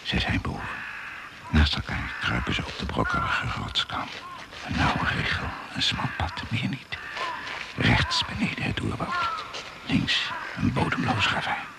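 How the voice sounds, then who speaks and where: A very deep, gravelly male narrator tells a jungle adventure radio play. He speaks in short, suspenseful phrases. Insects chirp through a very noisy recording. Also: wildly swooping pitch, a little slow, full character voice. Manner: terse, suspenseful, clipped phrases. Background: crickets and jungle insects.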